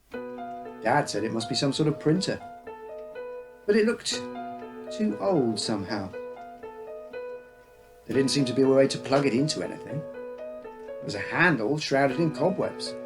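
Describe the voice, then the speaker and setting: A man's voice: medium pitch, lively intonation, moderate pace, pauses often, music in the background. A man reading a scripted uncanny story as its storyteller. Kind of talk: scripted narration of an uncanny tale.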